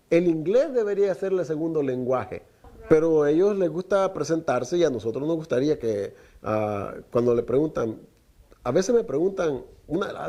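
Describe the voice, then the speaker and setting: Male preacher, middle-aged, native Spanish speaker, earnest teaching tone, mellow timbre. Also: a little high, vocal fry, muffled recording. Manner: preaching with rhetorical questions, often addresses listeners as brother. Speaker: teacher of a Torah portion. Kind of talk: Spanish-language Torah teaching.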